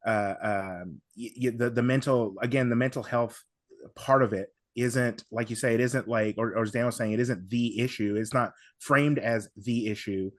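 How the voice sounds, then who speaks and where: Man in halting, emphatic podcast discussion, dry sound. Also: medium pitch, steady tone, no room sound. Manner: halting, self-interrupting, emphatic, repeats himself. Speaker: podcast panelist. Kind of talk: casual analytical discussion.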